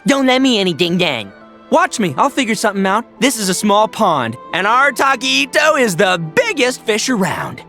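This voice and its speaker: A woman's voice: medium pitch, very animated, moderate pace, fairly bright tone, faint music behind. A woman voicing a cocky, scheming video game character with a folksy, slangy way of talking.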